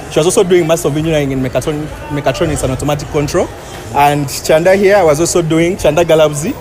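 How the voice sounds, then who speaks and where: A young man with a Ugandan accent speaking earnestly and formally as spokesman for a group of graduates. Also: fairly high pitch. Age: young adult. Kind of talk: informal spoken address to media. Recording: outdoor crowd and street sounds.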